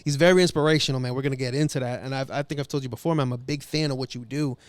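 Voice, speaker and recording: Laid-back male host, mid pitch, close dry sound, easygoing filler-heavy chat. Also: steady tone, racing, no echo. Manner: laid-back, repeats fillers like "you know what I mean". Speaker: podcast host. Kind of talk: casual interview chat.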